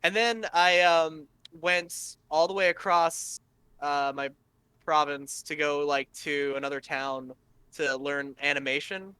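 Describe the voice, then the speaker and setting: High-voiced young man, bright and crisp. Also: steady tone, no room sound, low-quality recording. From Canada. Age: 20s. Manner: rambling and profane, quick to laugh. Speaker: podcast host. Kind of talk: casual chat.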